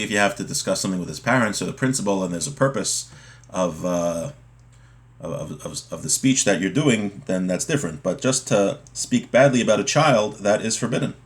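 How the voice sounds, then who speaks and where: A man with a fairly low voice teaches in an informal, explanatory way. He works through a religious text phrase by phrase, restating each point in simpler words as a teacher would. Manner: explanatory, repeats and rephrases points. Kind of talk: informal text lesson, reading Hebrew and explaining it in English.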